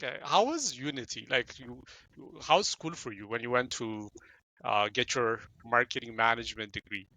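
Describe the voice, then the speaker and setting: Bright-voiced chatty male interviewer. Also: medium pitch, lively intonation, normal speed. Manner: chatty and playful, jokes, repeats words. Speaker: interview host. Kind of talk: casual interview.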